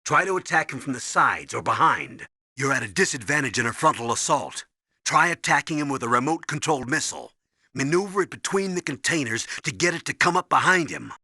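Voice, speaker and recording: An actor playing a stern older colonel in a video game, briefing and giving orders with a husky, commanding voice. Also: male voice, medium pitch, expressive, fairly quick, bright, forceful tone, no room sound, clean studio sound, heavily acted. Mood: instructive. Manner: commanding, urgent, instructive.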